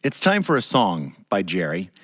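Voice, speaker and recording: A man's voice: sits low, wildly swooping pitch, moderate pace. A man hosting an interview podcast, asking curious, meandering questions with frequent "uh"s. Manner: warm, curious questioner with many "uh"s. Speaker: podcast host. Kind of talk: interview conversation.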